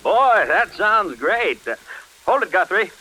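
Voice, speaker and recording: An actor playing an excitable engineer talking over a shortwave set in a 1940s radio drama. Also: male voice, sits high, unhurried, exaggerated voice. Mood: startled, alarmed. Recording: noisy vintage radio drama recording.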